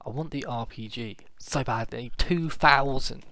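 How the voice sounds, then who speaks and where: Young British guy for a let's-play, excitable and giggly, noisy cheap mic. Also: medium pitch, measured pace. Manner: laughs often, chatty and excitable. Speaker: gaming channel host. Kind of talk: casual let's-play commentary. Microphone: cheap home microphone. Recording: noisy home recording with game sounds.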